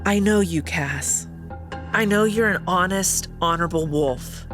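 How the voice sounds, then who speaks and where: A woman voicing a calm stoic wolf sister. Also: fairly low pitch, unhurried, music in the background, close to an everyday voice. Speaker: guest voice actor.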